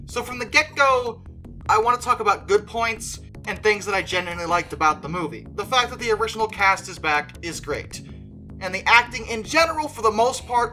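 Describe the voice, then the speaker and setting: A woman's voice: medium pitch, sharp tone, poor audio. A woman talking conversationally through an opinionated fan review, with emphatic stress on key words. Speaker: video creator reviewing films as a fan.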